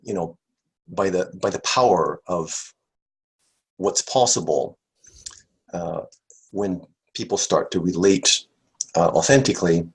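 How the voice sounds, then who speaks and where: A middle-aged man with a low, husky voice speaks on a video call. He is a nervous guest and stammers through his sentences, repeating words as he searches for what to say. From Canada and the USA. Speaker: guest author. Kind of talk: interview conversation.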